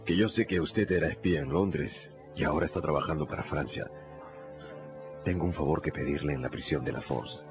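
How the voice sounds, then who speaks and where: An actor playing a resolute, hard-drinking English lawyer in a radio drama, deliberate and confidential in manner. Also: male voice, rumbling low, dull audio, music in the background. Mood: sly confidential pressure. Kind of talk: Spanish-language radio drama acting.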